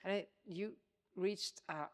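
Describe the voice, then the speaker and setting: A woman's voice: mid-range voice, steady tone, takes it slowly. A woman acting as curator and interviewer at a public talk, carefully searching for her words. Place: museum exhibition space.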